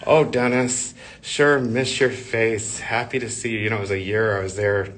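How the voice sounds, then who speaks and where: A man's voice: lowish voice, fairly even intonation, moderate pace, fairly bright tone. A man in his forties, losing his voice, chatting casually while walking a live-stream tour of a church building and reading viewer comments aloud, his phone held at arm's length. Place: indoor church building hallway.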